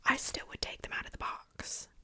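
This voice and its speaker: A woman speaking in a breathy, unvoiced whisper in a casual ASMR show-and-tell style, hushed and informal. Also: moderate pace. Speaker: ASMR video creator.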